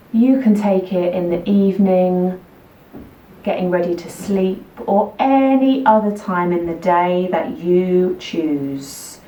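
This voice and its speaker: A woman guides children through a relaxation meditation in a soothing, repetitive way. Her delivery is markedly slow, with gentle pauses between short phrases. The recording is echoey, with her voice a little away from the microphone. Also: lowish voice. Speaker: yoga nidra teacher. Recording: roomy echo, distant microphone.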